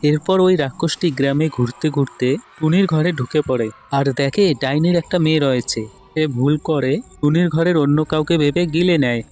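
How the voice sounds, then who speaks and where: High-pitched man narrating cartoon stories. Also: normal speed, darkish tone, soft background music. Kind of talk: scripted storybook narration.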